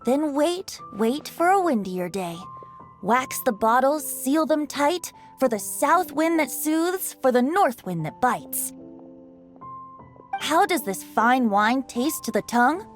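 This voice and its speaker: A woman's voice: high voice, lively intonation, takes it slowly. A woman voicing a playful, theatrical young male bard in a video game, with a lilting, teasing way of talking. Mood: poetic. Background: faint music.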